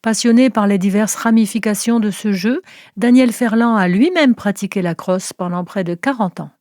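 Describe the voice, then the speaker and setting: A woman's voice: medium pitch, normal speed, no room sound. Woman reading a scripted intro, dry sound. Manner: smooth, even reading. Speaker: narrator introducing guest. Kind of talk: scripted narration.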